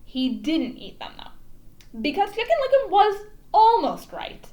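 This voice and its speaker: Woman, high voice, lively swooping intonation, casual storytime presenter, read-aloud and informal explanation. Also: unhurried.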